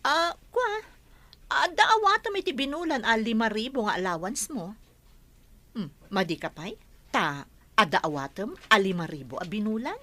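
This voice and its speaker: A woman's voice: on the high side, very animated, normal speed, pushed and bright, exaggerated voice. A woman playing a domineering, greedy older aunt in an audio drama, with a bossy, commanding delivery. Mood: scheming.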